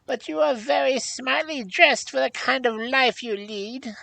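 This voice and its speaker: Shrill, crabby old hag. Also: female voice, a little slow, vocal fry, sharp tone, no room sound, full character voice. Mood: mocking. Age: older. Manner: scolding, sarcastic, grumbling.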